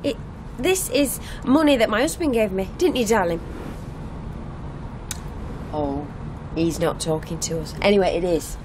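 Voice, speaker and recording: A woman's voice: unhurried, long pauses, natural voice. An actress playing a provocative wife who needles her husband in an insistent, teasing way, in a naturalistic radio drama. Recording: noisy car interior, vehicle rumble. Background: moving vehicle noise.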